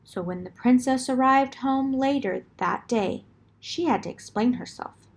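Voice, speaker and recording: Female storybook narrator, fairly high pitch, husky edge, deliberate and expressive delivery for children.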